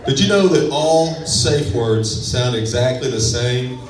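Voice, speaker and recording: A man's voice: mid-range voice, expressive, unhurried, noisy background. A man from Texas with a West Texas accent, doing a self-deprecating, laid-back stand-up comedy set on a club stage. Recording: echoey club sound from far off with a crowd.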